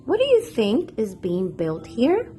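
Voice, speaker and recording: Female children's storyteller, slow and very expressive picture-book delivery, addressing kids directly, music underneath. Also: fairly high pitch. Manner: clearly paced, expressive, addresses young listeners with questions. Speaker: children's read-aloud storyteller and channel host.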